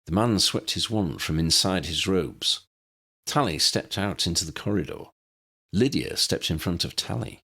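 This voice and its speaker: A man's voice: low-pitched, normal speed, sharp tone, slightly rough. A man reading a scripted story as an audiobook narrator.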